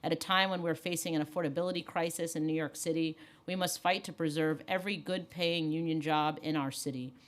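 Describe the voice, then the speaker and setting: A woman with a very low voice reads a prepared opening statement at a council hearing. Her delivery is steady and formal, with the cadence of public advocacy. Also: a little fast, few pauses. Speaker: council member.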